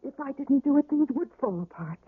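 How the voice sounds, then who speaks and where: An actress playing a weary, despairing wife recovering from surgery, mournful and yearning, in a radio drama. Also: female voice, high-pitched, lively intonation, brisk, narrow, tinny audio. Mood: anguished, pleading. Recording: roomy, band-limited old radio recording.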